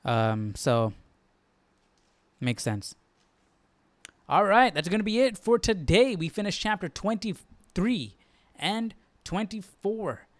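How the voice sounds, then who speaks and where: Casting: male livestream study host, slightly higher voice, informal, thinking aloud, dry close sound. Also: very animated, takes it slowly, long pauses, dry recording. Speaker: host of a live Bible study. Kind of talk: casual livestream chat.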